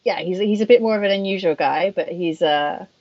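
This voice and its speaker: A woman's voice: fairly low pitch, a little fast. A woman, a professional mahjong player co-hosting a casual stream, chatty and self-deprecating. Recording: dull lo-fi stream audio.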